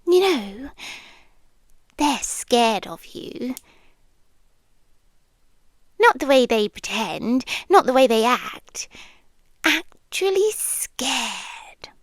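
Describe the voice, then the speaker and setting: A British woman playing a possessive, sweetly menacing yandere girlfriend in an intimate ASMR roleplay, teasing and often slipping into breathy whispers. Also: a little high, very animated, drawn-out words, long pauses, dry recording, studio quality, heavily acted. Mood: hushed menace.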